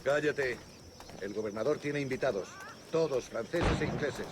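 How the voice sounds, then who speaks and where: An actor playing a curt, dutiful Spanish guard in a video game. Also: male voice, mid-range voice, a little fast, background noise, natural voice. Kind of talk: video game background dialogue.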